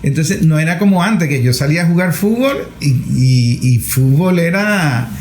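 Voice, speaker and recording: Higher-pitched man, echoey sound. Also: animated, normal speed, darkish tone. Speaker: interviewer. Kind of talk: conversational interviewing.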